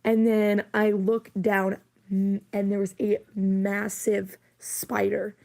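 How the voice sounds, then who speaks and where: Woman streamer, hoarse and losing her voice, creaky, unhurried delivery, giggly casual chat. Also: medium pitch, little pitch movement, darkish tone. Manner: rambling, giggly, full of fillers. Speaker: livestreamer.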